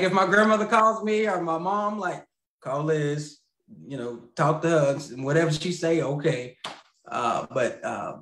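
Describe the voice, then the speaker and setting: A man in his thirties chatting as a podcast guest over a video call, rambling and laughing, often trailing off quietly. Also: on the high side, roomy sound, slight background noise, rough recording. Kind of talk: casual interview chat over video call. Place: indoor home room with lamp. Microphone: video call, webcam.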